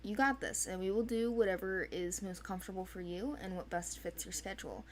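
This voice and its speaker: A woman's voice: mid-range voice, fairly even intonation, a little fast, a little hiss or hum. A woman giving an informal, unscripted explanation in a hesitant, rambling way, as a casting organizer.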